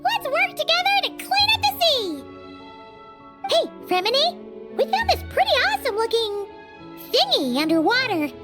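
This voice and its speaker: A woman voicing a small, childlike, cheerful fairy companion in a video game, with a squeaky, perky, chatty delivery. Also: huge pitch swings, moderate pace, full character voice. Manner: perky, refers to self in third person. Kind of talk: video game character dialogue. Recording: music behind the voice.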